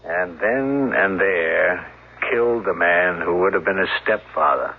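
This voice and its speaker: An actor playing a calm, world-weary police officer, recounting grim events in a measured, solemn way in a radio drama. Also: male voice, low voice, a little hiss or hum. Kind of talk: 1970s radio drama acting. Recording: narrow-band 1970s radio broadcast.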